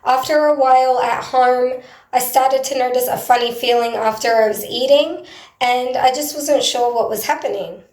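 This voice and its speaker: A woman with a hoarse, croaky voice, talking earnestly and hesitantly in a personal video diary, with frequent pauses. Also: fairly high pitch, a little mellow. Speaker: vlogger. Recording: roomy echo.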